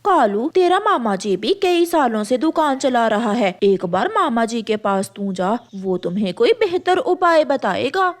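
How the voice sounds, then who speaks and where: A woman's voice: a little high, big pitch swings, unhurried, few pauses. A woman voicing a greedy, scheming female crow who nags her shopkeeper husband in a Hindi cartoon. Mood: demanding, scheming. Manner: demanding, scheming. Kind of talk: Hindi cartoon character acting.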